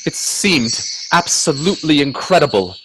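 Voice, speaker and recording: An actor playing a timid, wonder-struck gentleman companion in a radio play, with genteel, hesitant delivery. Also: fairly high pitch, very animated, normal speed, noisy background. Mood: wondering, narrating. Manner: hesitant, stammering in dialogue, genteel phrasing. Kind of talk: radio drama acting and first-person narration. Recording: husky dry close audio from video-call performance.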